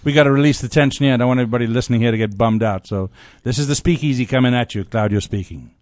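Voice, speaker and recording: A man's voice: mid-range voice, rapid-fire, a little mellow, no echo. A man hosting a casual radio talk show, giving his opinions bluntly and emphatically. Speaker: radio host.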